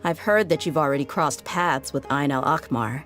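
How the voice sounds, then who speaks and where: A low-pitched woman mercenary from a fantasy game, blunt and weary of a hard life. She talks casually with a wry, colloquial edge. Music plays clearly behind her voice. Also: normal speed, fairly bright tone. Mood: resigned, explanatory. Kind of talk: video game voice acting.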